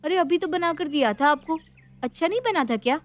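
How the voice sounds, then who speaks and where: A woman's voice: very high-pitched, animated, a little fast, voice pushed up. A woman voicing an eager, chatty young bride who questions everything and gets flustered easily, in a story. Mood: exasperated.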